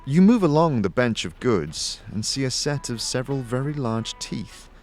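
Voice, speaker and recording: A man's voice: medium pitch, very animated, normal speed, a little mellow, soft background music. A man reading dramatic, second-person scripted narration for an audio story.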